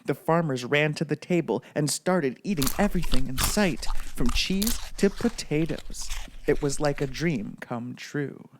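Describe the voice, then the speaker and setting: Male storybook reader, bedtime narration, unhurried pace. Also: fairly high pitch, expressive, background noise.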